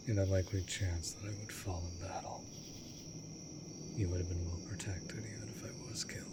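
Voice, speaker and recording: A man's voice: deep voice, close to an everyday voice. A man playing an immortal vampire master, a tender and brooding lonely lover, speaking formally and often breathily in a romantic fantasy audio roleplay. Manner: formal archaic wording, slow and deliberate, often dropping to breathy whisper. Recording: steady background noise of night crickets. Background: night insects and crickets.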